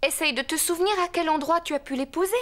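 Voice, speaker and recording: A woman voicing a gentle, practical mother pig, polite and motherly, in a children's cartoon dub. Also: high-pitched, fast talker, a little hiss or hum. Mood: encouraging.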